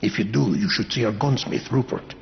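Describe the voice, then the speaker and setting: A man's voice: on the low side, brisk, gravelly, lo-fi sound. An actor playing a matter-of-fact male survivor passing on messages in a video game. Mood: helpful, matter-of-fact. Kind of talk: video game cutscene acting.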